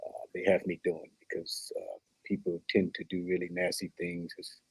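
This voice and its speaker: Man playing a husky, nervous, lovestruck young man. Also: low-pitched, monotone, normal speed, heavily acted, low-quality recording. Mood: nervous, eager. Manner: hesitant, fumbling, stammering. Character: smitten, nervous, earnest young man mopping floors. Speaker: actor in a table read. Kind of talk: comedy table read.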